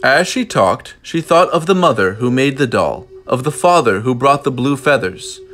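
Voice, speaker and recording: A man reading a children's picture book aloud in an expressive way, with deliberate, dramatic phrasing. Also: medium pitch. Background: music.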